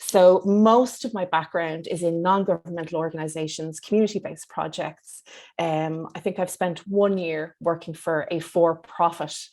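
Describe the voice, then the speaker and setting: An Irish woman in her fifties speaking as an interview guest, in an articulate, measured and reflective way. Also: lowish voice, steady tone, darkish tone. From Dublin, Ireland. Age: 50s. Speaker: psychotherapist being interviewed.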